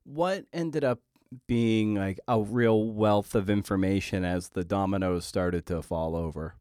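A man hosting an interview podcast, talking conversationally in a halting way and often repeating his words. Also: fairly low pitch, darkish tone, clear, resonant tone, no echo, clean studio sound.

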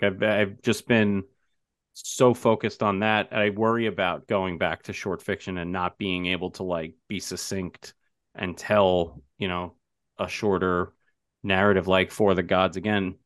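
A man, a horror writer who teaches English, chatting on a podcast, laughing easily and telling quick anecdotes. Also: sits low, steady tone, no echo, studio quality. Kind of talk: casual podcast chat.

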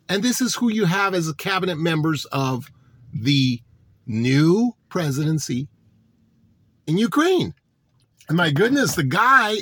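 A man's voice: fairly high pitch, fairly bright tone, no echo. A man delivering a political monologue to his audience, sarcastic and full of rhetorical questions. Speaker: political commentator.

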